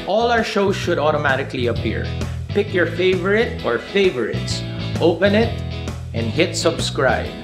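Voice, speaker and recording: A man's voice: on the high side, big pitch swings, normal speed. Male promo voice, upbeat instructions, roomy sound, guitar music under. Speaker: network promo presenter. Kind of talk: scripted promo.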